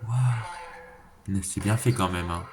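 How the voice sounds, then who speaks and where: A man's voice: sits low, fairly even intonation, a little slow, crowd noise. A man giving a casual, slangy reaction commentary, thinking aloud and repeating himself. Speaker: reaction video creator. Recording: clearly noisy background.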